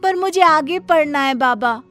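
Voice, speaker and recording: A woman's voice: high-pitched, expressive, measured pace, music in the background, voice pushed up. A woman voicing an earnest, anxious young village daughter in a cartoon horror story, with a pleading manner. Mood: sad, earnest. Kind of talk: animated horror story acting.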